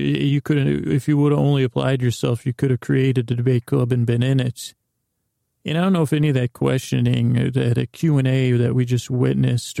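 A man hosting a bedtime podcast, rambling in a halting, meandering, gently silly way with a gravelly, creaky voice. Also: mid-range voice, no room sound, clean studio sound. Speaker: podcast host.

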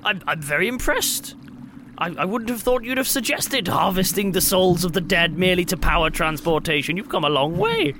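A man plays a conceited genius doctor in a tabletop game, drily sardonic and a little hesitant. His voice is fairly high and gravelly, with a pompous air. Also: big pitch swings, normal speed, noisy background. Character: self-proclaimed genius male doctor and fixer, vain, sardonic.

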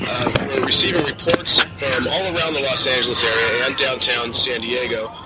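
A man plays an urgent, shaken news reporter in an audio drama. His formal, mid-pitched delivery sits under loud music and sirens. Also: fairly even intonation, moderate pace, lightly acted, poor audio. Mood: alarmed, urgent. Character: shaken male TV news reporter covering violent attacks.

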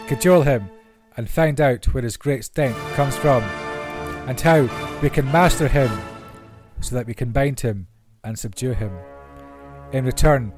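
A man reading Bible stories aloud as scripted narration. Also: mid-range voice. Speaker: Bible reader. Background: classical orchestral music.

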